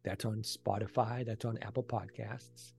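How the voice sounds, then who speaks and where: A man's voice: lowish voice, steady tone, measured pace, vocal fry, darkish tone, soft background music. A man speaking as a podcast guest, giving conversational answers and recommendations. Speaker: podcast guest, ASMR creator and author.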